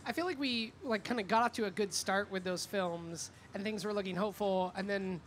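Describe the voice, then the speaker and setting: A woman's voice: medium pitch, brisk. A woman co-moderating a panel, casual and conversational, with loose phrasing full of "like" and "kinda". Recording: live event floor with background noise.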